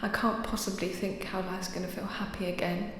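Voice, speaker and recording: A creaky, flat-toned new mother in despair in an audio play. Her voice rings with long reverb, as if remembered. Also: mid-range voice, a little fast, faint music behind. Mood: hopeless, empty. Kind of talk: naturalistic audio drama acting. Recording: echoey, long reverb memory effect.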